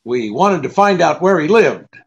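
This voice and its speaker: Man playing a wisecracking hard-boiled private eye, animated pitch. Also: normal speed, creaky, muffled recording. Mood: terse, guarded. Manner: curt, slangy, sardonic. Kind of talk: 1940s radio drama acting.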